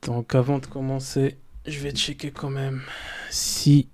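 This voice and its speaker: Slow, mellow-voiced male streamer, non-native. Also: medium pitch, monotone. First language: not French. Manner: halting, loose, talks to himself while setting up. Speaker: streamer reacting to videos. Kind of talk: casual live-stream chat.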